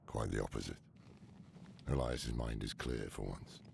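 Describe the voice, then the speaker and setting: A man playing a grizzled, weary old scholar in a dark fantasy game, gravelly, wry and grave. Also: rumbling low, unhurried, background noise, full character voice. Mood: regretful. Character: grizzled old male scholar, dry and regretful.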